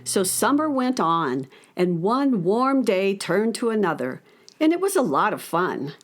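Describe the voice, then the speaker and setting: An actress playing a friendly narrator of a children's radio story, telling it expressively. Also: female voice, medium pitch, sing-song, measured pace, faint music behind. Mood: storytelling.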